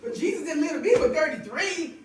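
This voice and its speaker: Lively, echoey woman preacher-singer. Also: sits high, slight background noise. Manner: chatty, laughing, drawn-out storytelling. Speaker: devotional host and singer. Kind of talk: casual spiritual talk with storytelling. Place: live music room with band. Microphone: stage microphone with monitor feedback. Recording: echoey room from far off.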